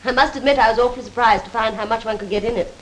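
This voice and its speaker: Casting: actress as genteel British housewife, very high voice, 1930s RP, polite and eager, stagey film dialogue with clear soundtrack noise. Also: female voice, steady tone, very fast, close to an everyday voice, low-quality recording. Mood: pleased, agreeable. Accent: 1930s British Received Pronunciation. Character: eager, agreeable young housewife and mother. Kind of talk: scripted 1930s instructional film acting.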